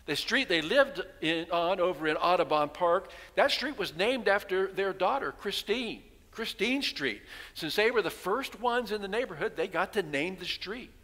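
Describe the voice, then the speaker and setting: Bright-voiced older pastor preaching in church. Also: male voice, sits high, lively intonation, normal speed. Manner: storytelling preacher, rhetorical questions, voicing townspeople. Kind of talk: sermon. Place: large church sanctuary, at pulpit. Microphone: headset mic. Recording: long reverb of a church hall.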